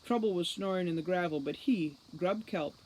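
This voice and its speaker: A woman narrating a novel aloud as a storybook reader, in an expressive style. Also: fairly low pitch, normal speed, poor audio. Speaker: audiobook reader.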